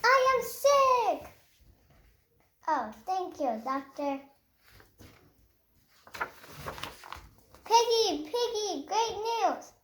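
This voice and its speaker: A woman voicing an anxious, dramatic male storybook elephant who talks in halting, sneezy bursts. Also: very high-pitched, very animated, a bit bright, pitched above the performer's own voice, full character voice. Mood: relieved. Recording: roomy echo, faint background noise.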